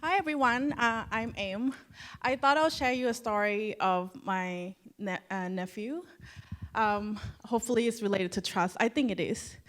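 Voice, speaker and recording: A woman's voice: fairly high pitch, a little slow, fairly bright tone. A woman from Thailand on a conference panel, telling a personal story in an earnest, conversational way with personal asides.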